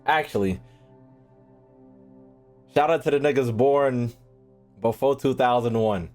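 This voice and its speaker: A man's voice: mid-range voice, takes it slowly, a little mellow. A young American man giving casual, slangy, joking live game commentary as a streamer. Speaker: gaming streamer. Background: faint game music.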